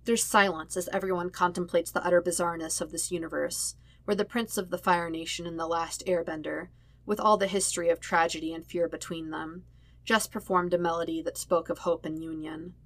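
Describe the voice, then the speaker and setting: A woman narrates a story in a fairly low voice. The sound is dry, with hardly any room around her, and the delivery is that of scripted audiobook-style reading. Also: steady tone, fairly quick, no room sound. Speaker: podfic reader of fan fiction.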